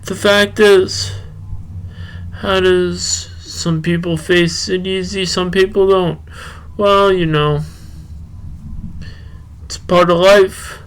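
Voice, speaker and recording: A man talking and reading poetry solo as a podcast host, halting, with drawn-out phrases and long pauses. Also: high-pitched, fairly even intonation, a bit bright, clean tone. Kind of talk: rambling solo talk and poem reading.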